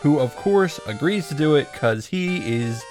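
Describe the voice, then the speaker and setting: A man's voice: on the high side, animated, a little slow, a little mellow. A man hosting a visual novel reaction summary, narrating casually and comedically with sarcastic, sweary commentary. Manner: sarcastic, profane. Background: music.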